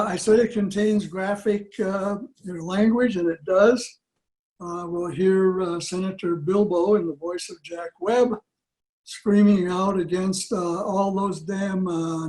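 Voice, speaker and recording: An elderly man giving an informal introduction to a program on a video call, in a halting, deliberate way. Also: sits high, a little mellow, poor audio. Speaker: club presenter introducing an old radio show. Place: small room at home. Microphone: computer video call.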